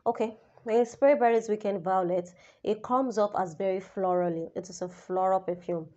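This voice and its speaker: A young woman reviewing a perfume in a casual vlog, slightly raspy as she recovers from the flu, talking chattily and straight to her viewers. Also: mid-range voice, moderate pace, dull audio. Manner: chatty and expressive, addresses viewers directly. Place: indoors, small room, seated. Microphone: camera in front, head-and-shoulders framing.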